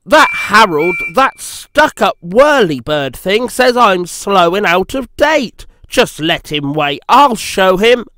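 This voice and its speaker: A small, proud steam engine from a children's storybook, acted out by a man. The voice is high and excitable, with indignant emphasis. Music plays behind it. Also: takes it slowly, no room sound, full character voice.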